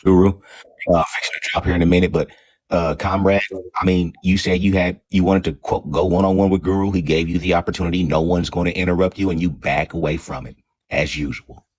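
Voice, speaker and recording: A man's voice: low-pitched, fairly even intonation, fairly quick, a little mellow. A man on a livestream panel, casually challenging another debater.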